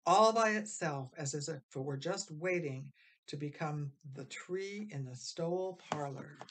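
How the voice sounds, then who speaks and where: A woman reading a children's story aloud as expressive scripted narration. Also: low voice, unhurried. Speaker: storybook reader.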